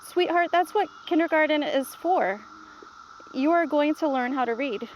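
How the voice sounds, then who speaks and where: A woman's voice: high-pitched, lively intonation, moderate pace, slight background noise, rough recording. A woman voicing a patient, reassuring grandmother who explains things simply in a children's storybook read-aloud. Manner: reassuring, instructive.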